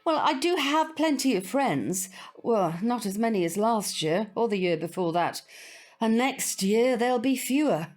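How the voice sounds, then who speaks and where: Prim, high-voiced British grandmother. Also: animated, a little slow, a little mellow. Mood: wistful, resigned. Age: older. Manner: prim, proper, hesitant around awkward subjects. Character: prim, old-fashioned grandmother, opinionated and wistful. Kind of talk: audio drama acting.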